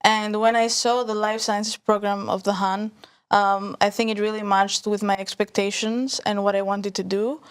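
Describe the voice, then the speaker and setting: Soft-spoken young woman with a clear voice. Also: medium pitch, little pitch movement, moderate pace. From Greece. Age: young adult. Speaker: guest student. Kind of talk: casual chat.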